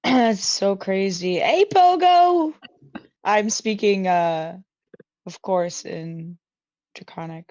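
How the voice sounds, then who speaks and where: Unhurried young woman, dry muffled recording. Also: mid-range voice, lively intonation, a little mellow, dry recording. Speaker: player in a tabletop roleplaying show. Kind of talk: casual tabletop game chat with in-character lines.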